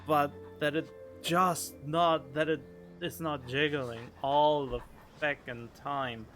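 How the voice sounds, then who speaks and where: A man's voice: a little high, fairly even intonation, takes it slowly, fairly bright tone, faint music behind. A man giving casual, rambling game commentary as a streamer, informal and sweary.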